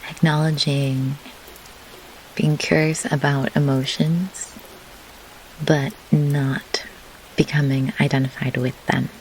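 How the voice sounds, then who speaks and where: Woman, low-pitched, unhurried meditation guide, measured pauses, faint rainy pink-noise hiss behind. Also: rough recording. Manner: measured, deliberate phrasing with pauses. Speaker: grief doula, guided meditation host. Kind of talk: slow guided meditation instruction. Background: pink noise like steady rain.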